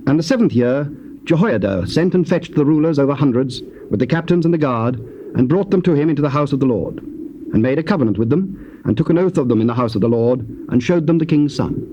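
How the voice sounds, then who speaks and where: Solemn male scripture narrator, mid-pitched. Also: warm tone. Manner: solemn, measured storytelling. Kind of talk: scripted narration of dramatized King James scripture. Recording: dull, noisy old cassette tape.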